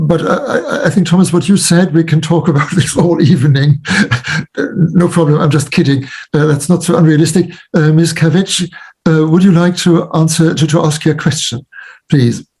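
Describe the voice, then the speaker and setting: A man chairs an online panel over a video call, reading out chat questions and passing the floor to speakers. His voice is husky and sits a little high. He is genial and jokes easily. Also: darkish tone. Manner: affable, jokey, many back-channel murmurs. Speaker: panel moderator.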